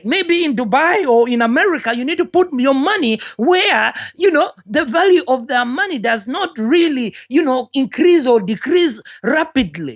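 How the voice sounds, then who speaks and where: A woman from Uganda with a Ugandan accent, giving an earnest, preachy motivational talk and often repeating words as she searches for the next one. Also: sits high. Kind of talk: motivational monologue.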